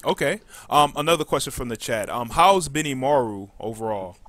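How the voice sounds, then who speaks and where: A man with a medium-pitched voice hosts a live gaming talk show. He is casual and hesitant, circling through his phrases as he passes questions along to the guests. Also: lively intonation, normal speed, fairly bright tone, no echo. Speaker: host relaying questions from chat.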